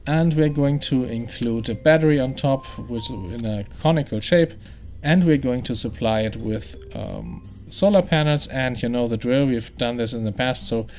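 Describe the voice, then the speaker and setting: Brief: gaming host, German accent, chatty, thinking aloud, average pitch. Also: male voice, moderate pace, a little mellow, faint music behind. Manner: explanatory, chatty, thinks aloud with fillers and restarts. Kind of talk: game playthrough commentary.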